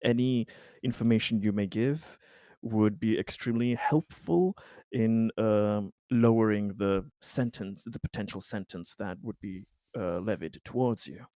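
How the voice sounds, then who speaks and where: A man playing a tabletop roleplaying game, talking through his character's actions casually and haltingly. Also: fairly low pitch, dark tone, no room sound. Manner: hesitant, halting, stumbling over words.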